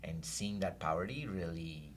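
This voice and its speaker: A very deep-voiced middle-aged man, a guest who grew up in Saudi Arabia, recalls his past in an unhurried, reflective way, pausing on fillers. A faint hum of room ambience sits underneath. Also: expressive, fairly bright tone. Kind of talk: casual interview chat.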